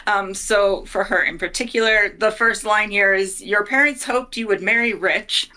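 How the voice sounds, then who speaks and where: A woman's voice: mid-range voice, moderate pace, sharp tone, rough recording. A woman in her thirties, a guest player chatting casually on a video call from a home office. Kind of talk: casual chat.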